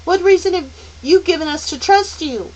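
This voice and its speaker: A woman's voice: a little high, lively intonation, a little slow, voice pushed up, poor audio. A woman voicing an impatient teenage girl who demands answers. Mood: demanding. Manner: urgent and demanding.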